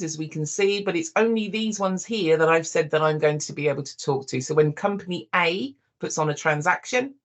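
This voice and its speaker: A software demonstrator giving a live walkthrough, explaining step by step in an informal way and addressing viewers directly. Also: medium pitch.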